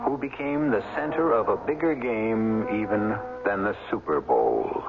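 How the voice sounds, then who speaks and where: Old radio mystery host guy, lowish animated voice, tinny, music behind. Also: a little slow, creaky. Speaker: radio drama host and narrator. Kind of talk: dramatic scripted narration.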